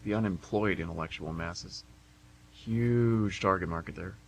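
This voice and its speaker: A man acts out an unemployed wise guy in a radio comedy. He needles others with lazy sarcasm in a lowish voice, recorded with a slight hum. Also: a little slow. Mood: mocking. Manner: sarcastic, laid-back, mocking. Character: sardonic, unemployed slacker guy. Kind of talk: amateur radio comedy play acting. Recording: hum and faint background noise.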